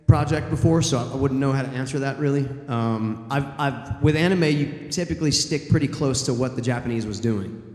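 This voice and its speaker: A man's voice: a little high, a little mellow. A man voice actor on a convention panel, answering questions in a loose, rambling way with many ums and restarts. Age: adult, former college theater student. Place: large convention hall. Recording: echoey convention hall.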